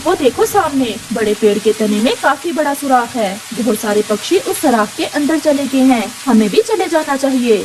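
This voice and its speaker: A woman's voice: high voice, expressive, moderate pace, hardly any pauses, background noise. A woman voicing a caring, cautious husband bird in a cartoon, speaking in a worried, reasoning manner.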